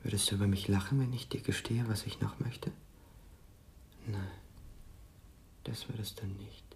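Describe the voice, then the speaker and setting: A man's voice: lowish voice, fairly even intonation, moderate pace, darkish tone, a little hiss or hum, close to an everyday voice. An actor playing a needy, fearful man in a radio drama, pleading and wistful in an intimate manner. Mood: tender, wistful. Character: needy, fearful, tender man infatuated with his female accomplice. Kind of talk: German radio drama acting.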